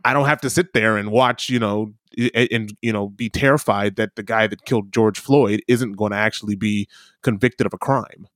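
Midwestern american guy, low voice, chatty n argumentative, super dry mic. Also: normal speed, a bit bright, no room sound. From United States. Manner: chatty, argumentative, laughs easily. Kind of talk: casual chat.

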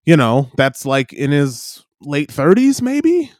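A man's voice: fairly high pitch, very animated, slow pace, a little mellow, dry recording. Man, podcast co-host, casual rambling chat, hedging, dry sound. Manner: rambling, hedging, laughs often.

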